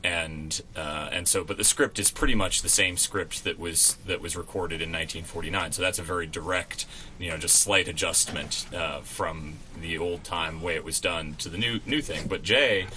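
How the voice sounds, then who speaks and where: Deep, very bright-voiced man chatting. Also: normal speed, slight background noise. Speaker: radio play actor, interview guest. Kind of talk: casual radio interview. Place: college radio station.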